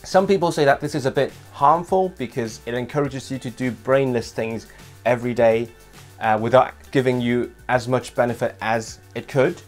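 Young male vlog host, non-native English, lively and gesturing, home desk setup, faint music underneath. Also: medium pitch, moderate pace. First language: not English. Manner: animated, gestures with hands while talking. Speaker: language vlogger host. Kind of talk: casual scripted vlog talk to camera. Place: small home room with desk.

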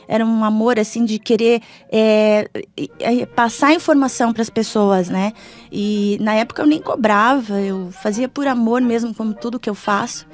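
Brazilian woman, middle-aged radio guest, informal, fairly high voice over faint music. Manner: informal, often adding tag questions. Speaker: interview guest. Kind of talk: casual radio interview. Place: small foam-padded radio studio. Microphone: studio microphone.

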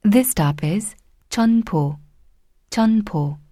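A woman reading recorded subway station announcements in a slow, clear, formal way. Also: medium pitch, expressive, background noise.

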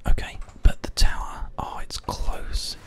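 Hushed ASMR whisperer commentating on a game. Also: a little slow. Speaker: gaming host and player. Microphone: close binaural microphone, ear to ear.

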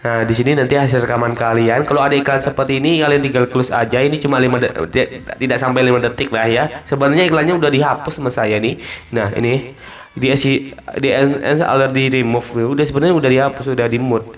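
A man narrates a tutorial in a mid-pitched voice over background music. He talks informally, walking through each step, repeating himself and stretching the ends of his phrases. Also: steady tone, fast. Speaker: tutorial host. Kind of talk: casual app tutorial voice-over.

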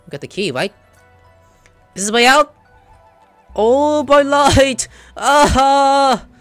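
A man giving casual gameplay commentary as a video host, excitable, reactive and prone to swearing. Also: very high-pitched, takes it slowly, no room sound. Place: small room indoors at a computer. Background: faint game music.

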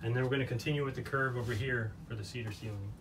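A man's voice: mid-range voice, steady tone, racing, background noise. A young man co-hosting a van build vlog, explaining his work in a plain, practical way. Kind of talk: casual explaining and chat. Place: bus interior under construction.

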